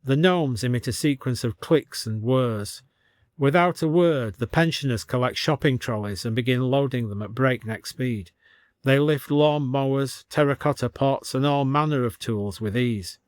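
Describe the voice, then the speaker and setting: A man's voice: medium pitch, moderate pace, dry recording, clean studio sound. A man narrating a scripted story as an audiobook reader.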